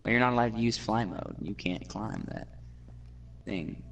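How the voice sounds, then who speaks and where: A man's voice: on the low side, moderate pace, gravelly, recorded from a distance, slight background noise. A man rambling with many filler words in casual chat while gaming. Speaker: gaming co-player.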